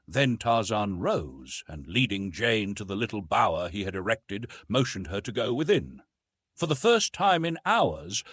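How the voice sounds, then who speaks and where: Expressive male audiobook narrator, slightly husky. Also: fairly low pitch, dry recording. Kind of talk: scripted storybook narration of an adventure novel.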